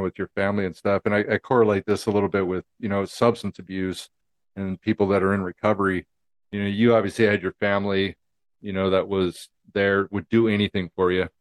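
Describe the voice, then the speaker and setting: Casting note: male host, fairly low voice, easygoing and encouraging, plenty of "you know.". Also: a little fast. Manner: relaxed, many fillers, supportive. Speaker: podcast host. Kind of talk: casual interview.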